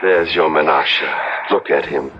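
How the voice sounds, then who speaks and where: A man's voice: extremely low pitch, unhurried. An actor playing an anxious, devoted middle-aged father, pleading and theatrical in an old-time radio drama. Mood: gentle, coaxing. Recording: dull vintage radio broadcast.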